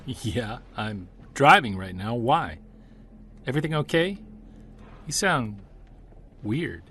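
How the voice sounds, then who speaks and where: A man's voice: mid-range voice, sing-song, takes it slowly, long pauses, fairly bright tone, breathy edge, heavily acted. An actor playing a stubborn, driven Bigfoot hunter talking on the phone while he drives. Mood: casual. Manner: defensive, restless. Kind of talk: audio drama acting. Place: driving a car.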